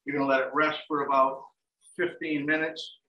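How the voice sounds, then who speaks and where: A man's voice: fairly high pitch, normal speed. Middle-aged chef guy walking thru a recipe, laid back, lots of ums, sounds a bit far off in a roomy kitchen. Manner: relaxed, step-by-step instructions with frequent "um" and "like I said". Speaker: chef and dining services director. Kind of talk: live cooking demonstration. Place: large home kitchen, indoors. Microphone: fixed camera across the counter. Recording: echoey kitchen, voice from a distance, faint hiss. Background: turkey sizzling in a pan.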